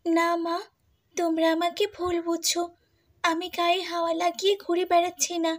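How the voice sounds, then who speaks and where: A woman acts out a meek, mistreated young cartoon heroine. Her voice is very high and soft, with a plaintive, pleading sorrow to it. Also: monotone, normal speed. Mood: pleading, hurt. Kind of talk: Bengali cartoon dubbing.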